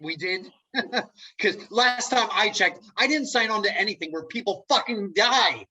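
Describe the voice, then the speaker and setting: A woman's voice: mid-range voice, normal speed, bright, forceful tone, slightly rough, low-quality recording. A woman playing an exasperated, argumentative young man, indignant and sarcastic, in a comic script reading. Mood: alarmed, sceptical. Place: remote video call.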